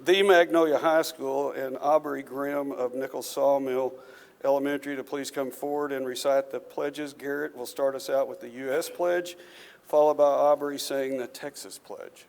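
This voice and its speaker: A man chairing a school board meeting, his voice a little raspy from a cold, mixing relaxed jokes with formal procedure. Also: mid-range voice, moderate pace. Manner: relaxed, joking asides. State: slightly raspy from illness. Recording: reverberant meeting hall.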